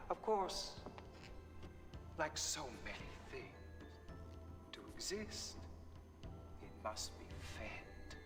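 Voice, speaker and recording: An actor playing the sinister, seductive master of a haunted house in a game cutscene, theatrical and insinuating, with dramatic pauses. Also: male voice, fairly high pitch, big pitch swings, exaggerated voice. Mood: sinister, musing. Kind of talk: 1990s adventure game cutscene acting. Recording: long hall reverb, distant, music underneath. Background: music.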